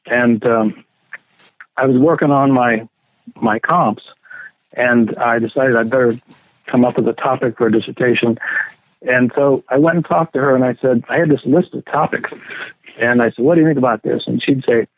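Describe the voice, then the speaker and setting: A man's voice: mid-range voice, no echo. Scholarly man on a tinny phone line. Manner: thoughtful, reminiscing, unhurried storyteller. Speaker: scholar interviewee. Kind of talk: reflective interview answers.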